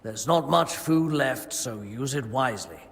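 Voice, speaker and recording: An actor playing a fussy, stingy monk who guards monastery food stores in a video game, speaking in a grudging, formal, pious way. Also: male voice, medium pitch, animated, measured pace, gravelly, fairly bright tone. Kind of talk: video game cutscene acting. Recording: long reverb, music behind.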